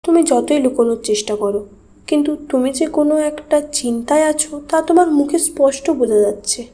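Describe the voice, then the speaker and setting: High-pitched, soft-toned caring young wife voiced by a woman. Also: quick pace, close to an everyday voice. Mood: concerned. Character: caring, reassuring young wife of a worried man. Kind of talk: Bengali horror cartoon voice acting.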